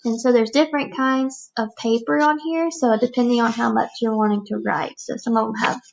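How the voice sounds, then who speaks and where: A woman's voice: on the high side, fairly even intonation, fairly quick, vocal fry, lo-fi sound. A woman teacher speaking to young children in a read-aloud lesson, explaining things in simple phrases. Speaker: elementary school teacher.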